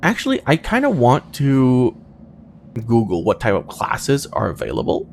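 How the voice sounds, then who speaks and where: Lively-pitched man musing over a video game. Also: moderate pace, creaky, slight background noise. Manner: hesitant, musing, trailing off between thoughts. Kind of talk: casual thinking-aloud game commentary.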